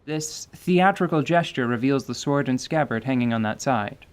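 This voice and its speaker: Even-voiced radio drama narrator. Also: medium pitch, moderate pace, smooth.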